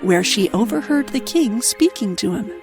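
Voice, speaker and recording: A woman's voice: mid-range voice, expressive, normal speed, music in the background. A woman giving a scripted narration of a children's fairy tale.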